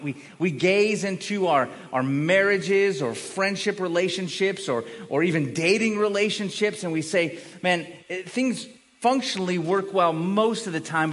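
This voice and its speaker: Higher-pitched man preaching in an echoing hall. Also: fairly bright tone. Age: middle-aged. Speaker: pastor preaching. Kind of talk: conversational sermon. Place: large room, preaching to a congregation. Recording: long hall reverb.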